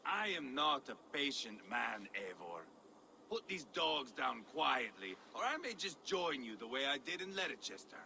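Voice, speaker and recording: An actor playing a sadistic, gleeful middle-aged Norse warrior in a video game, gravelly and theatrical, taunting and unstable. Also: male voice, sing-song, unhurried, pushed and bright, exaggerated voice. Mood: menacing. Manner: theatrical, swinging from low menace to roaring. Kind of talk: dramatic video game acting. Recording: noisy game ambience behind the voice.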